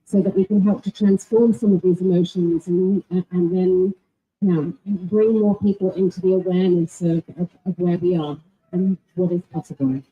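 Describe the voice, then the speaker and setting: An English-born woman speaks on a panel in a low, husky voice. She is sincere and thoughtful, leaning on fillers and repeating words as she goes. The sound is narrow and phone-like. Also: steady tone, normal speed. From England. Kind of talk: panel discussion. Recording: tinny phone-like audio.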